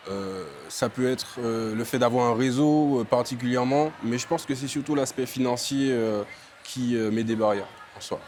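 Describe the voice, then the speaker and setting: A man's voice: medium pitch, normal speed, darkish tone, a little hiss or hum. A young man from Martinique, an entrepreneur, answering interview questions with many fillers and restarts.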